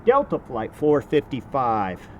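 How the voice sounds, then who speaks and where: A man's voice: mid-range voice, huge pitch swings, takes it slowly, muffled recording. A man giving casual, off-the-cuff commentary as a plane-spotting vlogger, outdoors. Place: outdoors near an airport runway. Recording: outdoor recording with faint traffic noise. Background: faint vehicle and outdoor ambience.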